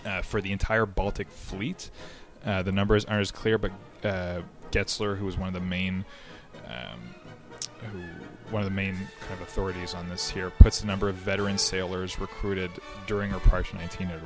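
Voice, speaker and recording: A very deep-voiced man talks through history in a relaxed, conversational way. He hesitates often, restarting phrases and filling gaps with ums and uhs. Faint music sits quietly behind his voice. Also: moderate pace. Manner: conversational, many fillers and restarts. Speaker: history podcast host. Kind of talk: casual explanatory history talk.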